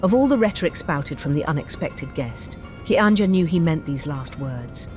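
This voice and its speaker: A woman's voice: low voice, expressive, normal speed, darkish tone, soft background music. A woman giving scripted, dramatic narration as the storyteller of a fantasy audiobook.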